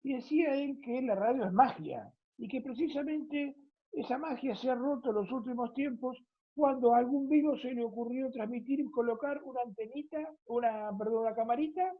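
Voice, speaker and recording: Argentine female host, husky, mid-pitched, wordy conversational interviewer, narrow telephone-style sound. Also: moderate pace. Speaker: interviewer, radio programme host. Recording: thin phone-like audio.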